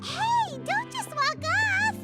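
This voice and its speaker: A woman voicing a tiny, cheerful childlike fairy companion in a game, excitable and talkative. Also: extremely high pitch, takes it slowly, loud music behind the voice, exaggerated voice. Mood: indignant. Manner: chirpy, excitable, expressive. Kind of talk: animated game voice acting.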